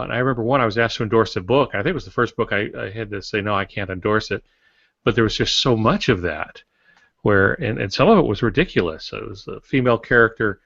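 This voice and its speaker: A man's voice: lowish voice, fairly bright tone, no room sound. An older man co-hosting a writing podcast in casual, instructional discussion, telling anecdotes to make his points. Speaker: podcast co-host and writer. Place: small indoor home office. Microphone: video call. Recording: dull, lo-fi video call audio.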